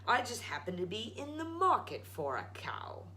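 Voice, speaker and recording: A woman's voice: on the high side, very animated, moderate pace, bright, forceful tone, slight background noise, pitched above the performer's own voice. A woman voicing a wacky old male tradesman in a storybook, folksy and coaxing like a salesman. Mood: folksy, friendly. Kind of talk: storybook read-aloud.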